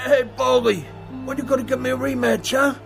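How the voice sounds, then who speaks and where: A man's voice: very high voice, fairly even intonation, brisk, vocal fry, music in the background, full character voice. An actor playing a good-natured, punch-drunk journeyman boxer in a radio drama. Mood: eager, wistful.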